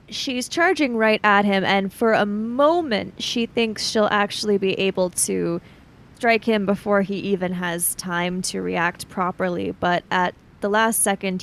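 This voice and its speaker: A woman narrates her character's actions in a tabletop game. She talks very slowly and thoughtfully, with plenty of gravelly fry at a middling pitch. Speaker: tabletop role-player.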